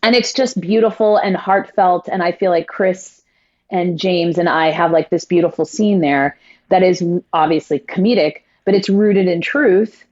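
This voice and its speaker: A stage actress telling stories in a casual interview, effusive and quick with quoted asides. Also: female voice, lowish voice, darkish tone, muffled recording.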